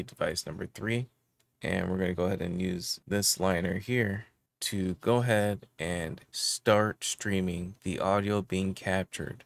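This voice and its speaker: A man's voice: sits low, creaky, no echo. A man in his thirties giving a casual how-to explanation as host of a tech tutorial. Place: small indoor room with electronics gear.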